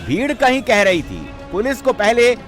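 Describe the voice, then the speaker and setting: A man's voice: very high-pitched, wildly swooping pitch, normal speed, fairly bright tone. A man presenting scripted TV news narration in an emphatic, sensational, dramatic way. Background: background music.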